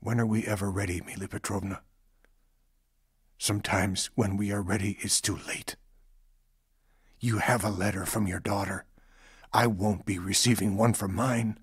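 An actor playing a gentle but persistent male patient in a radio drama, questioning earnestly and a little hesitantly. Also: lowish voice, fairly even intonation, moderate pace, pauses often, husky, no room sound, clean studio sound. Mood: gently urging.

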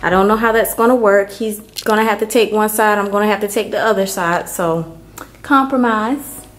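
A woman vlogger giving a casual, unhurried walkthrough of an empty apartment, filmed on a handheld camera. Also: mid-range voice. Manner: unhurried, chatty, many ums. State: hot and worn out from moving.

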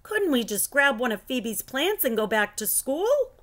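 A worried, doubtful schoolboy from a children's storybook, read by a woman. He complains impatiently in a fairly high, animated voice. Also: measured pace, exaggerated voice.

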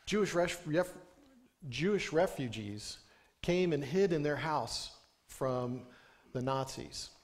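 Guy in his 30s preaching at a small church pulpit, earnest storyteller, mid pitch. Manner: earnest storytelling with emphatic rising lines. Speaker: pastor. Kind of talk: sermon. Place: small church sanctuary, indoors. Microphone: pulpit microphone.

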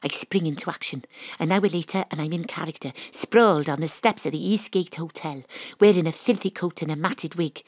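A woman's voice: sits low, a little fast, darkish tone, dry recording. A woman playing a plucky, self-important Welsh detective who narrates her case in an audio drama, with dry, arch delivery. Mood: arch, resolute.

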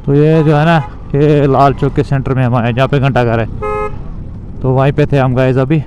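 A man's voice: mid-range voice, fairly even intonation, moderate pace, a little mellow, highs cut off. A young man vlogging casually while riding a motorbike through city traffic, talking loosely and repetitively to his viewers. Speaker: moto vlogger.

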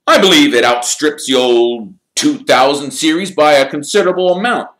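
A man's voice: fairly high pitch, moderate pace, a bit bright, reverberant, pitched above the performer's own voice, close to an everyday voice. A man reading an audiobook, voicing an arrogant teenage team captain who talks in a careless, boastful way.

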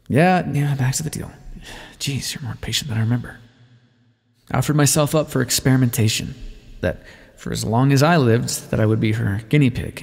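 Male actor as a bitter vampire, husky, wry, measured confession, ASMR roleplay, long reverb. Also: medium pitch. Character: bitter, wry male vampire, a former rebel leader recounting his past. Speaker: audio roleplay performer.

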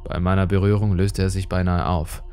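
A man narrates a horror story in a fairly low voice. His delivery is scripted, measured and full of suspense. Dark music plays clearly behind him. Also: little pitch movement.

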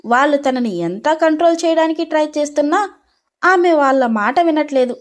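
A female narrator with a distinctly high-pitched voice. She reads a scripted dramatic story as its storyteller. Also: lively intonation, a little fast.

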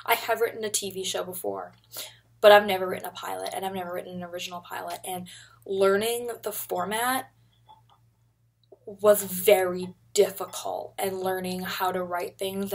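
Young woman, husky voice, mid pitch, rambling casual chat, webcam vlog, bedroom. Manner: chatty, rambling, animated hand gestures. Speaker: vlogger. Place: bedroom, indoors. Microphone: webcam at desk.

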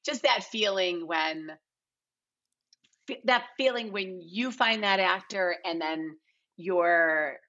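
Bright, low-pitched American woman, dull call audio. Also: slow pace. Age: middle-aged. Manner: animated, emphatic, piles up quoted asides. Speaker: guest, TV and film casting director. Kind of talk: casual interview chat. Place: bright living room on a video call.

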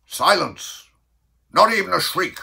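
A man voicing a bossy, impatient, excitable ship's bellman in a storybook verse reading, with loud, indignant delivery. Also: mid-range voice, takes it slowly, slightly rough, pitched above the performer's own voice, exaggerated voice. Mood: commanding.

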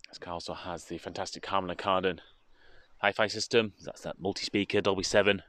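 A British man giving an informative, steady walkaround presentation as a car dealership presenter, speaking into a handheld phone outdoors. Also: low voice, a little fast, no room sound. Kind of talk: walkaround product presentation, semi-improvised commentary. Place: outdoors in a car park, partly inside a car.

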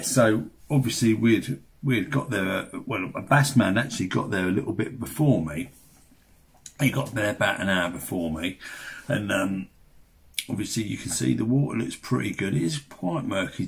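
A man's voice: mid-range voice, vocal fry, husky. An English man in his sixties narrating a fishing vlog in a chatty, rambling, colloquial way. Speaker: fishing vlogger.

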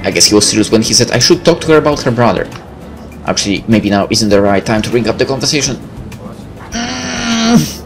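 A man's voice: mid-range voice, sing-song, a little fast, low-quality recording. A man reading a visual novel aloud as a gameplay host, breaking in with casual, joking, sweary asides. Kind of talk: let's play narration with casual asides. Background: game music.

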